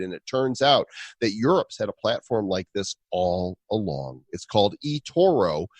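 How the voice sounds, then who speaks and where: A man's voice: fairly low pitch, no room sound. A man with a Chicago accent co-hosting a podcast, reading ads and chatting in a hammy, playful way. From Illinois. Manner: playful, hammy, swaps air and ear sounds. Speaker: podcast co-host. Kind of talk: casual podcast chat and ad reads.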